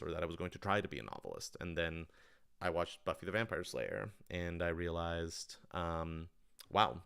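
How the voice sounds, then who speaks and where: Man, very low pitch, smooth resonant tone, casual Q&A talk, thinking aloud, enthusiastic. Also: a bit bright. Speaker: writer-director of audio dramas answering questions.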